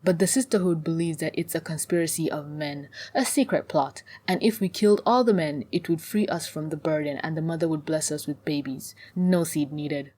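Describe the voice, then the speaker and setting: A woman voicing a cautious, diplomatic middle-aged tribeswoman in a fantasy audiobook, measured and motherly with some exasperation. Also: low voice, mellow. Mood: patient, weary.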